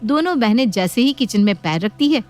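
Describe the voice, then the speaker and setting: Female storyteller, fairly high voice, scripted moral-tale narration with a music bed. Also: expressive, fairly quick, darkish tone. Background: background music.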